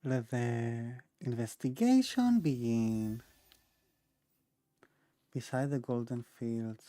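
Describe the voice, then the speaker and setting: A woman's voice: rumbling low, animated, slow pace, warm tone, dry recording. A woman giving casual game-streaming commentary, offhand, as if muttering to herself.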